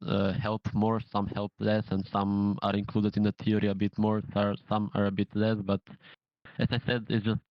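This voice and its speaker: A man answering interview questions as a team's in-game leader, measured and pausing to find his words. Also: fairly low pitch, completely flat delivery, no room sound. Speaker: professional gamer, in-game leader. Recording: dull lo-fi sound.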